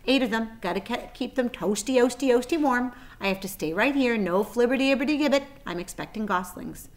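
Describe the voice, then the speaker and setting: A woman's voice: on the high side, fairly quick, pitched above the performer's own voice, natural voice. A woman voicing a fussy, broody mother goose in a storybook, stuttering and repeating syllables.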